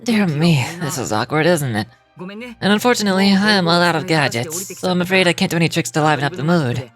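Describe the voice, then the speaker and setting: A woman doing a low-pitched suave young magician. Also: lively intonation, quick pace, faint music behind, lightly acted. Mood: suave, rueful. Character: suave young male stage magician, theatrical, apologetically polite. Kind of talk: video game character voice acting.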